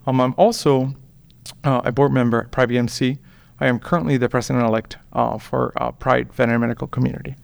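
A man, chatting as an interview guest and pausing often with "um.". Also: medium pitch, fairly even intonation, vocal fry, no room sound. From Puerto Rico. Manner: hesitant, many ums and restarts. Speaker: guest, veterinarian. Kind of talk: casual interview chat.

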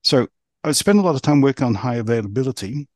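A man with a mixed New Zealand accent giving conversational interview answers as a podcast guest, in halting phrases. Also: mid-range voice, lively intonation, darkish tone, no room sound, studio quality. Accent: New Zealand, mixed by years abroad. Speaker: podcast guest, resilience professional.

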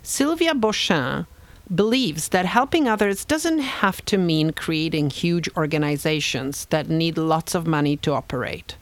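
A woman hosting an interview, reading a measured introduction and then asking questions. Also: low-pitched, expressive, no room sound. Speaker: interview host.

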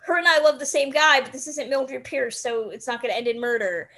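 A woman hosting a casual film discussion, talking in an animated way with wry asides. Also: sits high, fairly quick. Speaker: host of a film discussion podcast.

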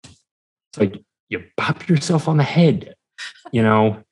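A man in his twenties chatting casually as a podcast guest, speaking in halting, hesitant, broken phrases. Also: on the low side, expressive, darkish tone, husky. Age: 20s. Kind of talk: casual interview chat.

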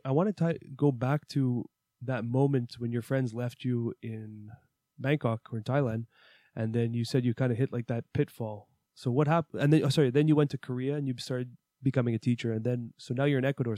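Male host, warm dark tone, relaxed questioner, dry room. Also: medium pitch, brisk, no echo. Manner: relaxed, curious, filler-heavy. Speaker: podcast host. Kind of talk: casual interview questions.

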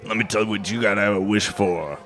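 A man's voice: fairly low pitch, fast talker, a bit bright, natural voice. A man playing a leering, diapered Baby New Year who stumbles through his words in an improvised live comedy bit. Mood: rambling, insistent. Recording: music and crowd chatter behind the voice.

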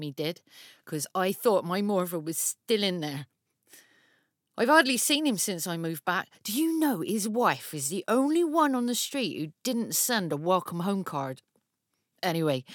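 A woman's voice: fairly low pitch, no echo, studio quality. An actress playing an aggrieved, fiercely protective mother who talks in a gossipy, resentful, confiding way in an audio drama monologue.

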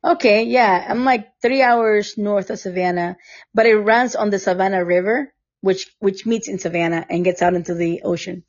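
A woman's voice: medium pitch, moderate pace, muffled recording. A woman from Costa Rica hosting a podcast, telling stories in a chatty way and laughing often. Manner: chatty storyteller who laughs often and acts out what others said. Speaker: podcast host. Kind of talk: casual chat over a recording app. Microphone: remote recording app.

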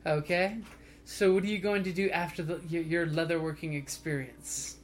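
A woman's voice: sits low, steady tone, normal speed, noisy background. A woman narrating a tabletop roleplaying game as game master in a playful, teasing way. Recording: music behind the voice.

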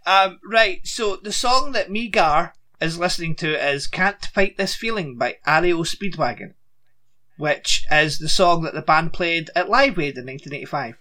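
A man's voice: a little high, normal speed, bright, forceful tone. A man, co-hosting a podcast in casual, rambling chat with a dry humour. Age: 40s. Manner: rambling, dry, laughs often. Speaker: podcast co-host.

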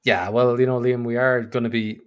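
Guy hosting a chatty game podcast, cheery and jokey, normal pitch. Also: little pitch movement, brisk, clean tone. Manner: upbeat, teasing banter. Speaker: podcast host. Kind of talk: casual podcast chat.

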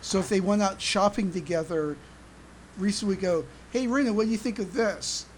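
Podcast co-host, middle pitch, halting and repetitive in casual argument, faint noise behind. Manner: halting, repeats words while arguing. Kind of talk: casual fan debate.

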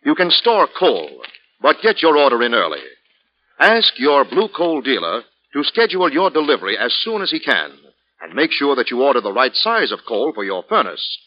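A man's voice: mid-range voice, wildly swooping pitch, normal speed. A man announcing and narrating in a formal, emphatic, dramatic 1940s radio style. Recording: dull old radio recording.

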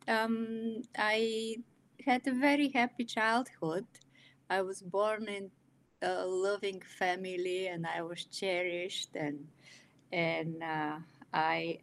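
A woman in her sixties from Bulgaria, speaking English with a Bulgarian accent, telling her life story as a guest with many pauses and fillers. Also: on the low side. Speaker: guest, illustrator and author. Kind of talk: personal storytelling in an interview.

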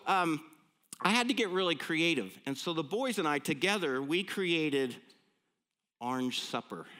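A man of fifty-nine with an American accent, preaching in a conversational, folksy, humorous storytelling way with rhetorical questions. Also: on the high side. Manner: animated, folksy, self-deprecating, punctuated with rhetorical questions.

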